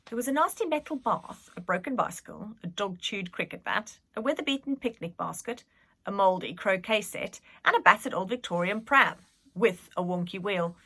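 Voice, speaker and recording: A woman reads a children's storybook aloud. Her voice is bright and very lively in pitch, and she tells it dramatically, leaning into the suspense. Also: moderate pace, slightly rough. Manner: animated, dramatic, with whispered suspense. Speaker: reader, school staff member.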